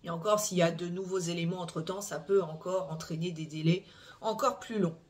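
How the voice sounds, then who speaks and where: A channel host recounting a criminal case to viewers in a measured, explanatory way, with frequent hesitations, filler sounds and restarts. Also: mid-range voice, steady tone. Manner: measured, explanatory delivery with tag "hein". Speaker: true-crime channel host.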